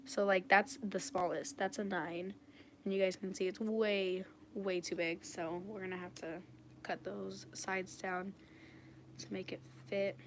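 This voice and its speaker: A woman with a raspy, slightly under-the-weather voice, chatting casually and informally as she demonstrates nail work on a livestream. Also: mid-range voice, little pitch movement, a little slow. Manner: informal, frequent "like". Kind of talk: casual live chat while demonstrating. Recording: clearly noisy home livestream recording. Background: washing machine noise.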